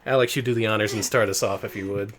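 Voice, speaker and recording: A woman's voice: very deep voice, fairly even intonation, fast talker, a crowd in the background. A woman co-hosting a retro game stream, giving dry joking commentary. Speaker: stream co-host. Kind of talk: casual game stream banter.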